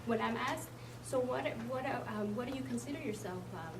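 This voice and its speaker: A woman from Mexico speaking on a panel as an education consultant, halting, with many fillers. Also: a little high, background noise. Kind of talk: panel discussion. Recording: echoey room, far from the mic.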